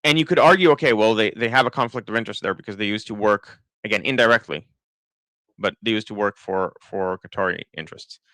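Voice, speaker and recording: Israeli man with an American-sounding accent, dry and bright. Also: lowish voice, quick pace, no room sound, low-quality recording. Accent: American-sounding English. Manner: thinking aloud, many restarts and fillers. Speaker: political commentator. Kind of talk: live-stream commentary reading chat.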